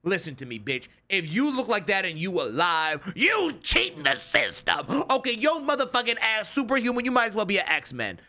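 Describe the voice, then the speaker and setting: An American man giving casual, slangy, profane reaction commentary in an animated, exclamatory, blunt manner. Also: high-pitched, normal speed, hardly any pauses. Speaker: reaction video host.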